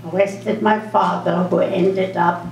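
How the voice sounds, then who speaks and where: An older woman from Austria speaks slowly and earnestly, her voice sitting a little low. She is heard from some distance in an echoey school auditorium. A faint hum runs underneath. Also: little pitch movement, creaky, darkish tone. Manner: slow, earnest storytelling. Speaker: guest speaker. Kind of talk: speaking to students.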